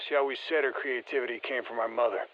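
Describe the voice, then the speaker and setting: An actor playing a seasoned, wry special forces operative in a video game, speaking matter-of-factly through a radio filter. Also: male voice, medium pitch, steady tone, fast, a bit bright, muffled recording. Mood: wry, reflective. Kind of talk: scripted game voice lines, field commentary.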